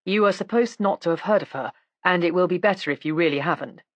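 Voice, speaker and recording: A woman voicing a courteous, discreet male police inspector in an audiobook, measured and understated. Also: on the low side, natural voice. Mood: calm, discreet.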